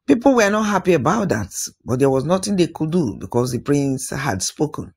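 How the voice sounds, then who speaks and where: A man narrating an African folktale as an oral storyteller, with dramatic phrasing, pauses and musing interjections, in a husky voice. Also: on the high side, big pitch swings.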